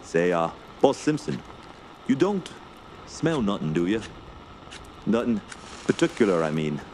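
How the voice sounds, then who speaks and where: Low male voice acted, backwoods guide, superstitious, hesitant muttering, radio drama, noisy outdoor ambience. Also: big pitch swings, a little slow, a little mellow. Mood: nervous, evasive. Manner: rustic dialect, hesitant muttering. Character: uneasy backwoods Canadian guide, superstitious, evasive.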